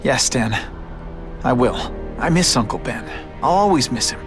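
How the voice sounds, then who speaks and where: An actor playing an earnest, troubled young superhero in a video game, speaking with measured conviction. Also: male voice, mid-range voice, animated, natural voice. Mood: resolved. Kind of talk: video game dramatic acting. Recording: loud music over dialogue.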